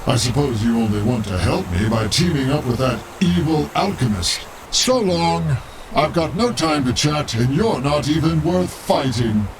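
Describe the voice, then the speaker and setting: A man's voice: medium pitch, lively intonation, moderate pace, lightly acted. Man as a bitter, menacing cartoon creature, noisy background. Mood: bitter, scornful. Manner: scornful, dismissive. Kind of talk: video game cutscene acting.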